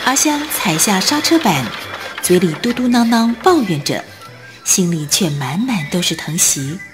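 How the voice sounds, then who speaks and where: A woman narrating a scripted radio drama in an expressive storytelling manner. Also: mid-range voice, a little slow, music in the background.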